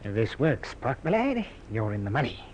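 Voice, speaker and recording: Breezy crook played by a man, smooth-talking and lively, vintage radio crime drama with faint background noise. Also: medium pitch, moderate pace, close to an everyday voice. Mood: scheming. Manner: breezy, persuasive, scheming. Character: cocky, smooth-talking male car thief and con man. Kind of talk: old-time radio crime drama acting. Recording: faintly noisy old radio recording.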